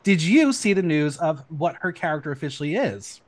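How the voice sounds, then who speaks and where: A man hosting a casual podcast, chatty and excitable, rattling off news with lots of ums. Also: a little high, a little fast. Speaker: podcast host.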